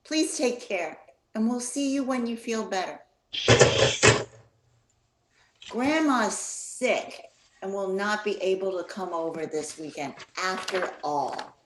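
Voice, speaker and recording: A woman's voice: medium pitch, a little slow, background noise, lightly acted. A woman playing a sweet yet strict mother in her thirties in an amateur radio play. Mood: concerned. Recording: echoey, roomy sound.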